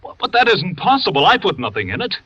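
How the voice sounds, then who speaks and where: Casting: a defensive, pleading suspect husband, husky voice, dry dull old radio sound. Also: male voice, high voice, wildly swooping pitch, fast talker, bright, forceful tone, dry recording, exaggerated voice. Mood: flustered. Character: man accused of poisoning his missing wife. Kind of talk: old-time radio drama acting.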